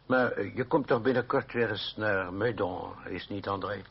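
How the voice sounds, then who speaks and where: An actor playing a gruff but soft-hearted old aristocratic godfather in a radio play, grumbling and hesitant in a paternal way. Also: fairly low pitch, normal speed. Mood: mollified. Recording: muffled old radio play, faint noise.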